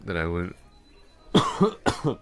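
A man playing a drunk, rambling, awkwardly friendly office coworker in an intimate ASMR roleplay, halting and repeating himself as he slurs along. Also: low voice, wildly swooping pitch, soft background music, exaggerated voice. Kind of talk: intimate ASMR roleplay, one-sided conversation.

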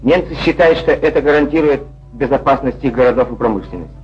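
A man's voice: medium pitch, fast talker, muffled recording. A man narrating a scripted 1940s Soviet newsreel in a declamatory, wry manner, on an old film soundtrack. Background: music.

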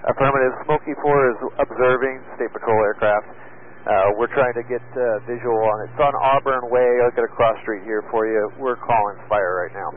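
A man on a state patrol aircraft crew talking over aviation radio in a matter-of-fact, halting way. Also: mid-range voice, fairly even intonation. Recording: noisy, narrow-band aircraft radio transmission.

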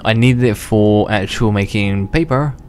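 Rambling young man with a low voice. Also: unhurried, darkish tone. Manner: rambling, self-interrupting, chuckles to himself. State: unwell, slightly worn voice. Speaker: gaming channel host. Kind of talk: casual gameplay commentary. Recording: faint background music under voice.

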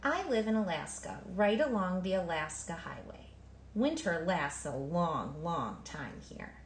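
A woman reading a children's picture book aloud in an expressive, emphatic storytelling manner. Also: on the low side, unhurried, echoey room, slight background noise. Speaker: storybook reader.

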